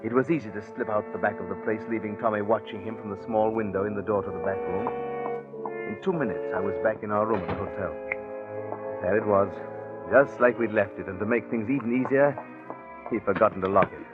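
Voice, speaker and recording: A low-voiced schemer played by a male actor tells his own story in a wry, confiding manner. It is 1940s radio suspense, with a muffled old broadcast sound and music underneath. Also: fairly even intonation, a little fast, close to an everyday voice. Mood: tense, confiding. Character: scheming con man and gambler, narrating his own crime. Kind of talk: 1940s radio suspense acting with first-person narration.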